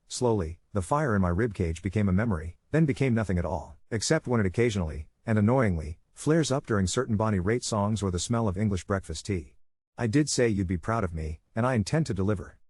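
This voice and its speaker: A man with a fairly low voice reads an online story aloud at a quick clip. His delivery is brisk and even, as he narrates a first-person account. The sound is dry and close, with no room around it. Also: no echo.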